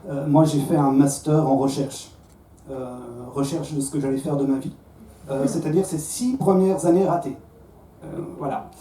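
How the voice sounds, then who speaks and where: A man's voice: a little high, steady tone, normal speed, mellow. A man from Belgium with a Belgian French accent, in his thirties, doing a self-mocking stand-up set on a comedy club stage, with stammered restarts. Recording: roomy club sound from a distance, faint crowd.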